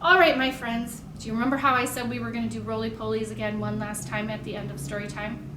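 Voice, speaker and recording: High-voiced American woman leading toddler storytime. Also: fairly even intonation, fast talker, pushed and bright, recorded from a distance. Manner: simple questions and encouragement addressed to small children. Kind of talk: picture-book reading and sing-along for toddlers. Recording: echoey room with faint background noise.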